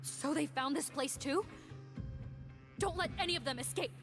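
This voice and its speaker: Game role: female police officer, fairly high voice, formal, dutiful, authoritative, music behind. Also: moderate pace, a bit bright. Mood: commanding. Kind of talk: video game voice acting.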